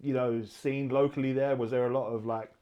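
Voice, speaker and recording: A man interviewing a guest in a relaxed, informal way, with loose phrasing and frequent fillers. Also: mid-range voice, fairly quick, dull audio. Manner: informal, many backchannels and fillers. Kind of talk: casual interview.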